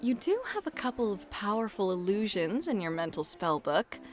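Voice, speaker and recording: A woman narrating a fantasy roleplay as game master, in a playful, teasing, expressive manner. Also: medium pitch, normal speed, faint music behind.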